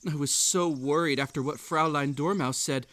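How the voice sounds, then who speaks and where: A man playing an obsessive, unhinged magical hare hosting a tea party in a roleplay audio, with a theatrical, halting delivery that swings between sweet coaxing and menace. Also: a little high, steady tone, a bit bright, close to an everyday voice. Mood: doting, obsessive. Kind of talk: roleplay audio acting, intimate second-person address.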